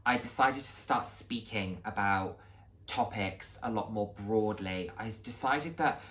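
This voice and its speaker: A man's voice: fairly low pitch, measured pace, a bit bright. A man giving a candid, motivational monologue to camera, speaking earnestly and emphatically and repeating phrases for stress. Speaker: vlogger sharing a personal journey.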